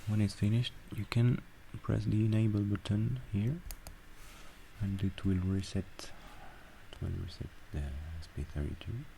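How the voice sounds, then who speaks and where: A man talking through a software tutorial step by step, with halting pauses between instructions. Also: lowish voice, gravelly, slight background noise, poor audio. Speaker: tutorial presenter. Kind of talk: screen-recorded software tutorial.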